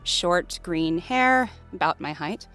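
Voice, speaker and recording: Woman acting a werewolf pack lady, slightly low voice, polite but plain-spoken, soft music behind, fantasy audio rp. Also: lively intonation, slow. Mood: matter-of-fact. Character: female werewolf alpha's mate, gracious, polite and plain-spoken. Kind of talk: scripted fantasy audio roleplay.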